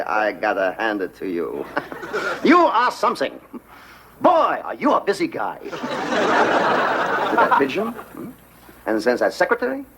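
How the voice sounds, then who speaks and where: An actor playing a bullying male police investigator with a heavy German accent, spitting out hard consonants. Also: medium pitch. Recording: old TV sitcom, audience laughter and hiss. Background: studio audience laughter.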